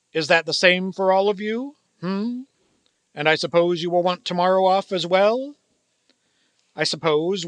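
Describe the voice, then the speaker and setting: A cold old miser in a radio play, acted by a man, with a high, hard-edged voice in a dry recording. He is formal and condescending, and his words drip with sarcasm. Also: a bit bright, dry recording. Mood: sarcastic, menacing. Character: cold, miserly old male business owner, scornful of Christmas. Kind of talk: theatrical radio play acting.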